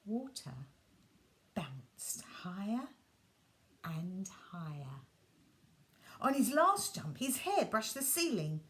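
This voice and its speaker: A woman reading a children's bedtime story aloud, gentle and expressive, sometimes dropping her voice soft. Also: mid-range voice, slow, pauses often.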